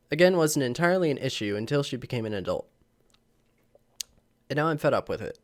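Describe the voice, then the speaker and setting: Young man, casual vlogger, slightly sick, chuckling, animated pitch. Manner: rambling, chuckling, many fillers. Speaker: channel host reading posts. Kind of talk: casual vlog reading aloud. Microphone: camera mic.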